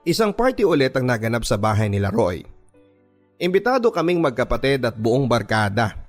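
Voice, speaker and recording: A man's voice: mid-range voice, big pitch swings, brisk. A man with a Filipino accent reading a first-person story as dramatic scripted narration for a radio drama. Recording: music bed under the voice. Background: background music.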